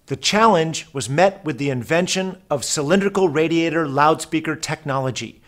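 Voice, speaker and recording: A man reading a scripted explanatory narration for a product video in a measured, articulate, instructional way. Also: on the high side.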